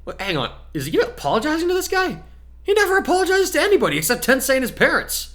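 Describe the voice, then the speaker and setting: A man's voice: very high voice, wildly swooping pitch, fast talker, fairly bright tone, pitched above the performer's own voice, heavily acted. A man voicing a skeptical teenage boy with a casual, wry, muttering manner in a story reading. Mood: surprised.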